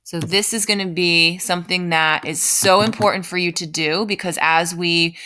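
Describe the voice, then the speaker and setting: Woman teacher w a bright clear-cut voice, bit on the low side, explaining a writing task step by step at her computer. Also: steady tone, normal speed, a little hiss or hum. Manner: explanatory, often checks in with "right?". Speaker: elementary school writing teacher. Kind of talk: informal step-by-step lesson, thinking aloud while typing.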